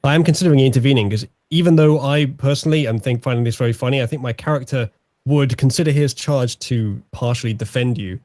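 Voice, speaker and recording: A man playing a tabletop game, talking in a measured, dry way. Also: medium pitch, darkish tone. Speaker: player. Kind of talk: casual game chat.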